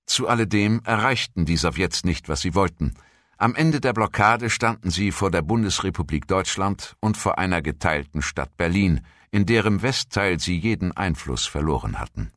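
Low-voiced guy reading a history doc script, formal and measured, really dry sound. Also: a bit bright, dry recording. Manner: measured, formal read delivery. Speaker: narrator. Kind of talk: scripted documentary narration.

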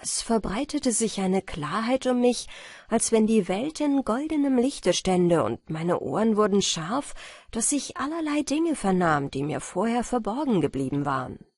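Female audiobook narrator for bedtime fairy tales: slow, gentle, medium pitch. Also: sing-song, dry recording, studio quality.

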